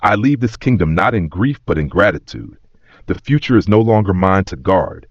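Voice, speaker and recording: A man voicing a noble, humble father-king in an audio saga, soft, solemn and stately. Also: low-pitched, expressive, normal speed. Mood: solemn farewell. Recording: roomy echo, slightly dull sound.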